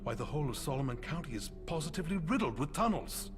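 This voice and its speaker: An actor playing an eccentric preacher and eager amateur conspiracy buff in a video game, with florid, sermonizing phrasing and halting hesitations. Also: male voice, on the high side, expressive, close to an everyday voice. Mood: intense, urging. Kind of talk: video game cutscene monologue. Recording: music under the voice. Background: background music.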